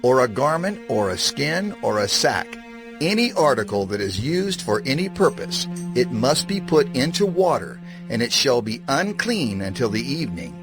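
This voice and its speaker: A man narrates scripture with lively, swinging intonation over clear background music. His delivery is solemn and measured, in a middle-pitched voice. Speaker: scripture reader.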